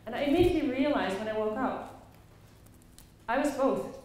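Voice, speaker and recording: A woman from the Netherlands with a Dutch accent, telling personal stories as a conference speaker, expressively and with dramatic pauses. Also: high voice, animated, a little mellow. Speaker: conference speaker, play facilitator. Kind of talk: personal storytelling talk to a live audience. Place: echoey indoor conference room. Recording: very echoey room, faint background noise.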